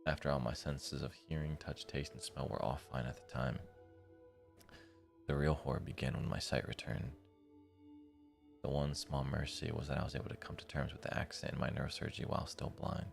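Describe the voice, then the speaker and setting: A man reading a visual novel's inner monologue as a tormented, bitter young man, in a very deep, gravelly voice with a measured literary delivery. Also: flat delivery, soft background music, heavily acted. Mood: bleak, weary. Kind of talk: read-aloud visual novel narration.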